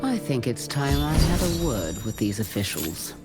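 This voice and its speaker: An actress playing a composed, resolute adventurer hero in a video game, serious and measured. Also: female voice, a bass voice, big pitch swings. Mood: resolute, calm. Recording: loud music behind.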